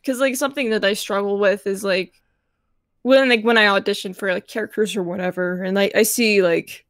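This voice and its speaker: A woman's voice: medium pitch, steady tone, fairly quick, dry recording, clean studio sound. A woman voice actor on an online panel, talking casually with many fillers.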